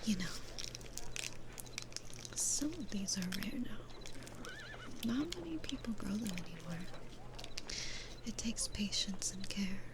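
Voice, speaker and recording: A woman voicing a sweet, gentle demon florist in an ASMR roleplay, speaking in a soothing, breathy whisper with unhurried pauses. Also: mid-range voice, sing-song, noisy background. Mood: gentle, reassuring.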